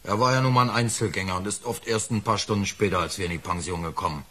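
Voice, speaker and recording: An actor playing a defensive working-class lift fitter in a radio crime play, talking colloquially and haltingly. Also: male voice, on the low side, fairly bright tone, slight background noise, close to an everyday voice. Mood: guarded, evasive. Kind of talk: naturalistic German radio drama acting.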